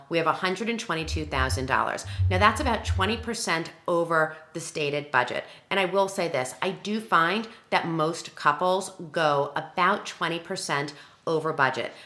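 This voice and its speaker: A woman's voice: on the low side, expressive, normal speed, bright, forceful tone, reverberant. A woman working as a wedding planner gives a conversational, instructional walkthrough, emphatic and often posing questions that she answers herself. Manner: emphatic, self-questioning, talks through examples aloud. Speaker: wedding planner presenting a tutorial.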